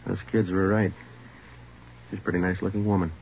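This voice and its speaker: Very low-voiced, terse detective. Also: male voice, flat delivery, fast talker, vocal fry, darkish tone, slight background noise. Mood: dry, relaxed. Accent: American. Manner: clipped, matter-of-fact. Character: terse, stern, world-weary police detective. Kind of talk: 1940s radio crime drama, narrated police report. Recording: muffled 1940s radio recording.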